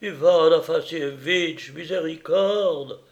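A man's voice: a little high, takes it slowly, highs cut off, voice pushed up. A man voicing an anxious, dismayed manservant in a read-aloud story, speaking in a hasty, pleading way. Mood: despairing. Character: anxious, dismayed male servant of a drunken master.

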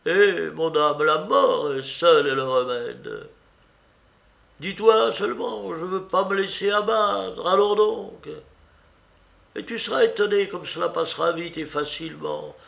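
A man's voice: high voice, measured pace, gravelly, a bit bright, pitched above the performer's own voice, heavily acted. A man voicing an agitated, affectionate and blunt old aunt in an audiobook, scolding and fussing with emphatic exclamations. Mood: coaxing, blunt. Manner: exclamatory, repeats words emphatically. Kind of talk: dramatised audiobook reading.

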